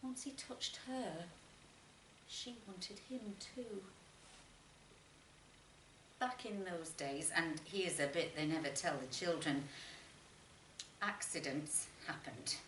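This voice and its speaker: A woman narrates a short story in a bright voice with a dramatic, measured delivery. She sounds a little distant from the microphone, in a roomy space with faint noise behind her. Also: fairly low pitch. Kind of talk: expressive literary story narration.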